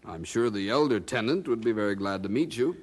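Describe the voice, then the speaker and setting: An actor playing a genial, skeptical colonial printer in an audio drama, speaking in a wry, amused, conversational way. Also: male voice, on the low side, lively intonation, fairly quick. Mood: wry, affable.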